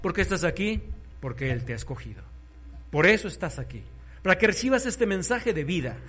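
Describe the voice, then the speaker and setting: A man preaching a sermon, fervent, swinging between soft and loud, urging listeners to repeat after him. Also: sits high, very animated, a little fast.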